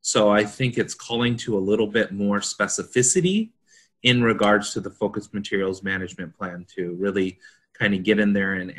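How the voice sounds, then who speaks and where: Bright-voiced man with low pitch. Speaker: consultant panelist. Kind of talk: explanatory discussion.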